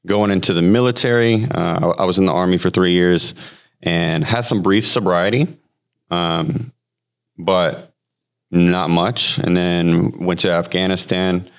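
Deep-voiced man in his thirties, podcast guest sharing his testimony; loose and halting, lots of fillers. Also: steady tone, gravelly, clear, resonant tone. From Hawaii. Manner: halting, frequent fillers and restarts. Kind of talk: personal testimony, conversational storytelling.

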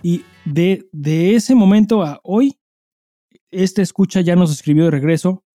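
A Mexican man with a fairly high, mellow voice co-hosts a casual podcast. He talks in a slangy, crude, joking way, recorded fairly dry with music underneath. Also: unhurried, no echo. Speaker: podcast co-host. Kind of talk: casual chat and listener mail reading.

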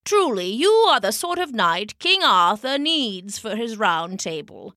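A woman's voice: medium pitch, very animated, bright, forceful tone, no echo, studio quality. Merlin, stately old male wizard, voiced by a woman. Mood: kindly, stately. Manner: solemn, formal, measured. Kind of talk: storybook character acting.

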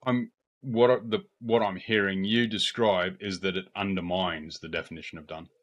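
A man from Australia with an Australian accent, co-hosting a podcast in casual discussion, direct and confident with quick bursts of speech. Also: low voice, fairly even intonation, fairly bright tone, lo-fi sound. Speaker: podcast co-host.

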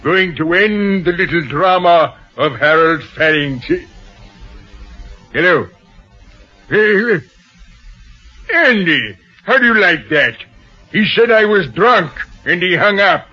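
A man playing a tipsy, washed-up theatrical actor with halting, slurred delivery in an old-time radio drama. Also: high voice, animated, pushed and bright, breathy edge, exaggerated voice. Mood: drunken, maudlin. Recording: muffled old radio recording with music.

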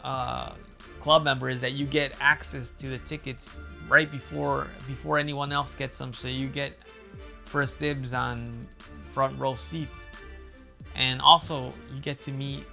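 A man with a loud, booming voice that is gravelly and bright-edged. He rambles in a casual monologue, circling back with fillers and restarts. Faint guitar music plays behind him. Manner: rambling, repeats himself, many fillers and restarts. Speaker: wrestling fan commentator.

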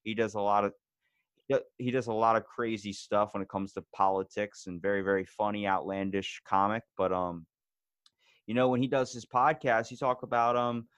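A man's voice: fairly low pitch, fairly even intonation, moderate pace, a bit bright, clear, resonant tone, no room sound, clean studio sound. A man as a podcast guest in casual conversation, talking bluntly with plenty of swearing and rising energy. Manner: blunt, profane and fired-up.

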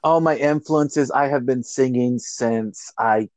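A man's voice: medium pitch, slow pace, a little mellow, no room sound. A man hosting a casual interview, upbeat and chatty, asking a singing judge questions and laughing often. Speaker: host of singing competition group.

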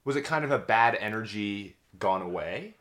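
A man's voice: on the low side, lively intonation, moderate pace, a bit bright. A man hosting a comedic health podcast, chatty and playful in his reactions. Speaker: podcast host.

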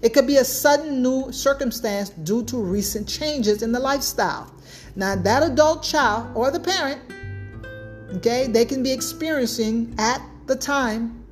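A woman with a fairly high voice hosts a solo podcast talk. She speaks emphatically and repeats herself for stress, often opening with "now" and asking "right?". Faint music plays quietly behind her. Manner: repetitive, emphatic, starts points with "now" and tags "right?". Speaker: podcast host.

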